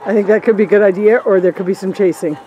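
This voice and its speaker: A woman chats playfully about the animals in a mid-pitched voice, cheerful and easygoing. She is outdoors on a farm, picked up by a camera mic. Clear outdoor noise and animal sounds come through behind her. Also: fairly even intonation, quick pace, highs cut off. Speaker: homesteader co-host. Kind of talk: casual chat while filming. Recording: noisy outdoor camera audio.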